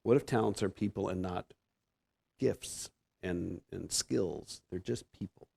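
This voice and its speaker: A man's voice: sits low, a little slow, creaky, a little mellow, husky. A man in his fifties with a Pacific Northwest American accent, preaching a conversational sermon as a guest preacher, self-deprecating and often restarting his sentences. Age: 50s. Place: church sanctuary.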